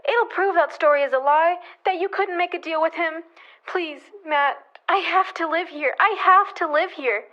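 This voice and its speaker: An actress playing a desperate, pleading widow in a radio western, halting and frightened. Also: female voice, very high voice, dull audio. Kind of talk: old-time radio western drama acting.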